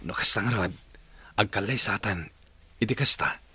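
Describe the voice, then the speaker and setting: An actor in a radio drama playing an earnest young man courting a woman, gentle and emotive. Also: lowish voice, moderate pace, slightly rough, dry recording, exaggerated voice. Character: earnest young suitor, Dindo. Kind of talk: broadcast radio drama acting.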